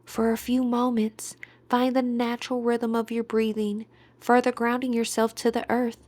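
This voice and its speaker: A woman leading a guided meditation as instructor, speaking in a soft, breathy, soothing way with deliberate pauses. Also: fairly high pitch, little pitch movement, no room sound. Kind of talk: guided meditation, scripted narration.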